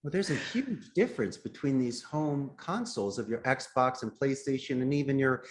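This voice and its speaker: Middle-aged man, average pitch, conversational interview guest, earnest, emphatic phrasing. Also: expressive, moderate pace. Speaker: podcast guest, company CEO.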